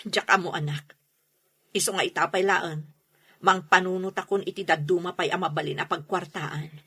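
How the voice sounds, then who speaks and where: A woman playing an aggrieved, hot-tempered mother in a radio drama, with a husky voice and emphatic delivery. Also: on the low side, fairly even intonation, normal speed, sharp tone. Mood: tender. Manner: scolding, emphatic. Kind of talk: radio drama acting in Ilocano.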